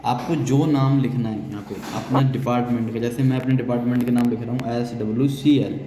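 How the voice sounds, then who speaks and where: A man's voice: mid-range voice, fairly even intonation, a little fast. An Indian man giving a hands-on technical tutorial, explaining step by step and repeating key phrases. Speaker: technical instructor. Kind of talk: hands-on spoken software tutorial. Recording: echoey reverberant room, noisy, distant sound.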